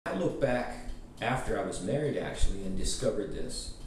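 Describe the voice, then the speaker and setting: A middle-aged man whose first language is Pennsylvania Dutch, calmly recounting his life in an interview, pausing often as he thinks. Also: lowish voice, a little hiss or hum. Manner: slow, thoughtful, pausing often. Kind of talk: reflective personal account. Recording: very echoey room, distant mic.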